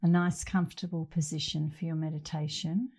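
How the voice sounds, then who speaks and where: A middle-aged woman leading a guided meditation as an instructor, speaking gently and leaving long pauses between phrases. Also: deep voice, flat delivery, breathy edge.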